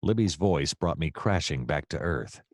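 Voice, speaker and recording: A man's voice: rumbling low, lively intonation, moderate pace, dry recording, studio quality. A man playing a wry, self-pitying private detective in a noir radio play, narrating and speaking with theatrical flourish. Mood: wry, self-satisfied.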